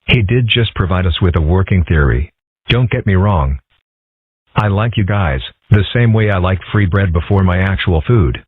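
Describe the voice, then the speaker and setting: A man voicing dry, understated nerdy schoolboys in an amateur comic-strip dub, with a husky voice. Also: sits low, lively intonation, moderate pace, dry recording. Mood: defensive, smug. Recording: muffled, phone-like lo-fi audio.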